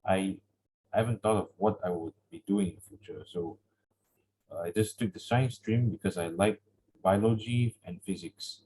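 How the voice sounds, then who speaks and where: A teenage male student with a Malaysian accent speaking informally and haltingly on an online class call. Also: sits low, warm tone, a little hiss or hum, poor audio. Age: teens. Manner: hesitant, halting. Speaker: secondary school student. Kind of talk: informal self-introduction in class.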